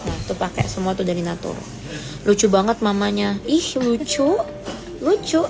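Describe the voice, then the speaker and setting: A teenage girl chats on a phone livestream, reading out comments in a playful, teasing way. Her pitch sits in a middle range for a woman, and clear music plays behind her throughout. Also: lively intonation, moderate pace. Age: teens. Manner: teasing, playful, rises high when mock-outraged. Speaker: livestream host. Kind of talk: casual live chat reading comments.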